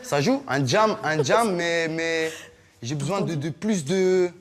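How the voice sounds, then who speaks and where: A man's voice: on the high side, expressive, slow. A man, a rapper, chatting loosely about how to freestyle, stammering when he is unsure. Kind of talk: casual chat and freestyle rap. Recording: loud backing music. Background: baroque ensemble playing.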